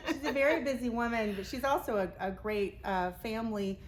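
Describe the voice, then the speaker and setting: A woman's voice: fairly high pitch, fairly quick, poor audio. Effusive lady host doing a casual promo chat, full of compliments, bit of background noise. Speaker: interviewer and host for a community theater.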